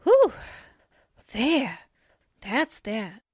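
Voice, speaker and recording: A woman's voice: on the high side, wildly swooping pitch, extremely slow, slightly rough, no echo, clean studio sound, full character voice. A woman playing a wisecracking, overworked newspaper office girl in a 1940s-style radio drama. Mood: weary relief.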